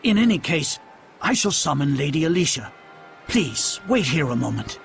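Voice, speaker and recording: A man's voice: fairly high pitch, big pitch swings, normal speed, vocal fry, over music. An actor playing a polite, deferential male servant in a video game, speaking formally and courteously. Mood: puzzled, deferential. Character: polite, deferential man serving a lady. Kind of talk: English video game dub acting.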